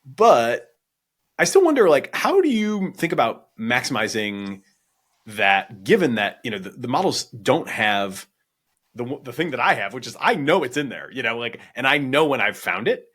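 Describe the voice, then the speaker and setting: A man's voice: mid-range voice, sing-song, moderate pace, fairly bright tone, slightly rough. A man hosting a podcast interview, thinking aloud conversationally as he builds long, discursive questions.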